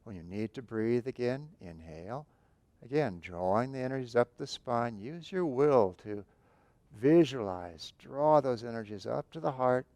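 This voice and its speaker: A man guiding a breathing meditation, giving calm, unhurried instructions with long pauses between phrases. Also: mid-range voice, no room sound. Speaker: meditation teacher.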